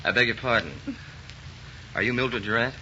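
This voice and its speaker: An actor playing a brisk, businesslike FBI special agent in a 1940s radio crime drama. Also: male voice, mid-range voice, sharp tone. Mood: official, firm. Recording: dull, hissy 1940s radio recording.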